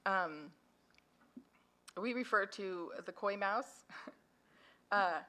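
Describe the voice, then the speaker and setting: A woman's voice: medium pitch, drawn-out words, sharp tone. Chatty woman giving a conference talk. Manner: chatty and self-deprecating. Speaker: foundation founder and parent speaker. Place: conference hall. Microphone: handheld or podium microphone.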